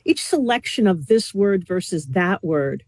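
A woman's voice: lowish voice, lively intonation, measured pace, darkish tone, no room sound. A woman interviewing conversationally, thinking aloud as she puts probing, challenging questions.

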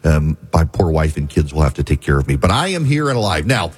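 Husky-voiced talk radio host with a cold. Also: male voice, very deep voice, big pitch swings. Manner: rambling, halting with restarts and fillers. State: head cold, scratchy voice.

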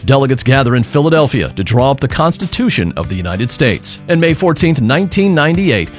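A man reads short history items in a polished announcer manner, his pitch lively. Clear music plays under the segment. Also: a little fast. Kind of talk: scripted radio feature.

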